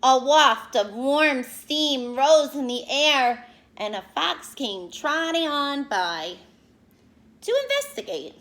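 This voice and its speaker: A woman reading a picture book aloud to young children in an expressive, teacherly way, pausing to explain and ask questions. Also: high-pitched.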